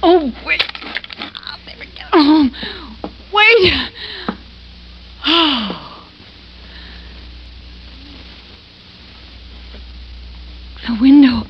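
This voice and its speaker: A woman acting a frightened woman alone at home in a 1950s radio drama, talking to herself in a halting, theatrical way. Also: high-pitched, big pitch swings, a little hiss or hum, full character voice. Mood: panicked relief, fear. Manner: breathy, halting, theatrical self-talk. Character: frightened woman alone at home at night, anxiously self-soothing. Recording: dull vintage radio recording with music.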